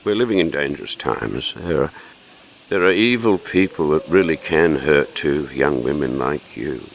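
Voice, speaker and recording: A man acting in an audio drama as a gentle, melancholic painter, speaking in a hesitant, solemn and philosophical way. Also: extremely low pitch, expressive, moderate pace, creaky, slight background noise, heavily acted. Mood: grave, cautioning. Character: gentle melancholic male painter guarding forbidden art. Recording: echoey hall with long reverb.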